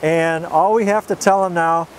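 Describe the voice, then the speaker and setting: A man's voice: on the high side, fairly even intonation, normal speed, a little hiss or hum, poor audio. A man working as a flight instructor, giving an informal, straightforward tutorial with folksy step-by-step explanations. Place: outdoors.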